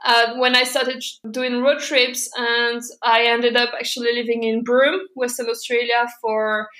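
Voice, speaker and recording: A woman's voice: on the high side, flat delivery, measured pace, sharp tone. A woman from Europe, a podcast guest telling her personal story in an open way, laughing often between fillers and restarts. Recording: echoey room.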